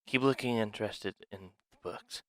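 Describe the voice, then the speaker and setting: A man playing a sly, scheming dragon in an ASMR roleplay, speaking in a hushed, deliberate, confiding manner. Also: lowish voice, no echo, natural voice. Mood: urgent, hushed. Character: sly, scheming male dragon plotting a museum heist. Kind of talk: ASMR roleplay audio drama, one side of a dialogue.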